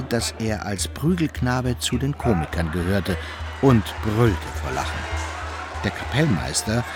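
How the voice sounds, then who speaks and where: Lively, swooping male radio-play narrator. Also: lowish voice. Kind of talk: scripted literary narration in a German radio drama.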